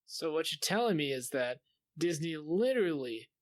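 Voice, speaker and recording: A man's voice: fairly high pitch, very animated, normal speed, no room sound, clean studio sound. A man co-hosting a podcast in casual banter, with sarcastic, sweary joking. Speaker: podcast co-host.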